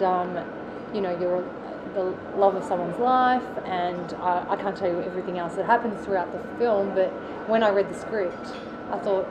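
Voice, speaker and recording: A woman with an Australian accent, an actress answering interview questions in a relaxed, chatty way with lots of fillers and restarts. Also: medium pitch. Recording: loud background noise.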